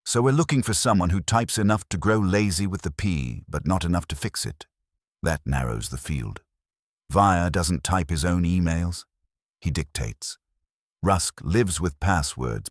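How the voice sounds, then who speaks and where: A male reader plays a detective's wisecracking legman. He is dry and sardonic, with a very low, slightly husky voice. The lines come as wry asides in an audiobook mystery. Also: animated, measured pace, no echo. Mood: wry, reasoning.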